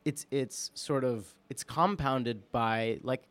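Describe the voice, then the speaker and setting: A man from New York with an American accent, guest-hosting a casual podcast and thinking aloud haltingly, repeating words as he goes. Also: mid-range voice, fairly bright tone, no room sound. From New York, United States. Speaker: podcast guest host and producer. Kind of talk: casual chat.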